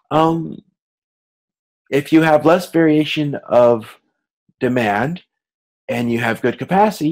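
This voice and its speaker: A man lecturing in a conversational, explanatory way, speaking directly to listeners with hesitations, repeated words and self-corrections. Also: medium pitch. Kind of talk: informal lecture on business.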